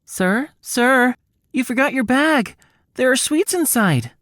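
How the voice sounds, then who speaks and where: A curious, earnest young boy from an audio storybook, acted out by a male narrator, pitched high and swinging freely up and down. He speaks with innocent frankness and is full of questions. The sound is close and dry. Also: expressive, slow, a bit bright, no echo, full character voice. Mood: urgent, calling out.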